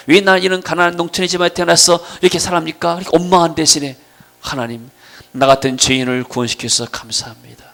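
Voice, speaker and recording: Middle-aged male pastor, Jeolla accent, creaky gravelly voice, sermon delivery, emphatic then quieter storytelling. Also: fairly high pitch. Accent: Jeolla (Gwangju). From Gwangju region, South Korea. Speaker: pastor preaching. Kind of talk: sermon with personal testimony.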